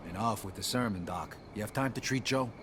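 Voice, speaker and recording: A man's voice: lowish voice, a little fast, background noise, natural voice. An actor playing a weary young mobster who answers curtly and narrates his story in a game. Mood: terse. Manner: terse, guarded, reflective in narration. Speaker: protagonist and narrator. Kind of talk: video game cutscene acting.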